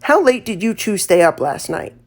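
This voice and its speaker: An elderly female country doctor, acted by a woman reading aloud. She is brisk and no-nonsense, speaking in a curt, matter-of-fact way. It belongs to a children's storybook tale. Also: medium pitch, sing-song.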